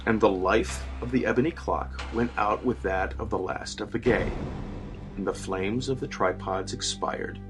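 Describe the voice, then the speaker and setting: A man giving a dramatic, measured and formal scripted narration of a gothic horror story. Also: lowish voice. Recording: music bed under narration. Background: background music.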